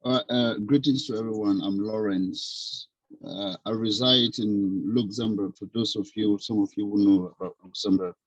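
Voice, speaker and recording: A man from Cameroon taking part in an online session, speaking politely and deliberately as he asks a question. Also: fairly low pitch, fairly even intonation, no room sound, lo-fi sound. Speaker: participant. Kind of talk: question on a video call.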